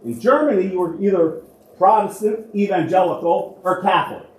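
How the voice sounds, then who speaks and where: A man, a church archivist, talks a congregation through local history in a relaxed, conversational lecture. His voice sits a little high for a man, and he often poses a question before answering it himself. The recording carries the roomy echo of a large church hall. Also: normal speed, slight background noise. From United States.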